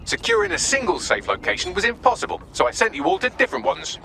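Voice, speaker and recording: A man's voice: high voice, huge pitch swings, fast talker, vocal fry, crowd noise, full character voice. A man voicing a prissy, sarcastic artificial intelligence assistant in a video game, articulate and fussy. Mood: exasperated. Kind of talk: video game cutscene acting.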